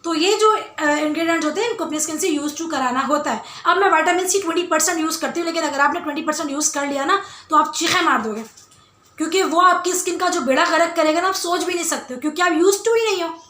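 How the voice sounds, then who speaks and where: Loud, high-pitched woman vlogger. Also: fast. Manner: emphatic, insistent, repeats phrases for stress. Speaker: beauty vlogger. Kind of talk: casual skincare Q&A talk to viewers. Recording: roomy, echoey indoor sound.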